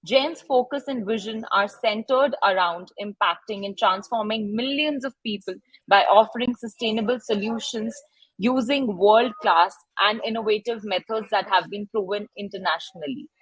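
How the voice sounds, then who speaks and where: A woman's voice: medium pitch, moderate pace, husky, dry recording, rough recording. A woman moderating an online session, politely introducing the speaker with frequent fillers. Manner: polite, reads the bio with fillers. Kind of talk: formal introduction.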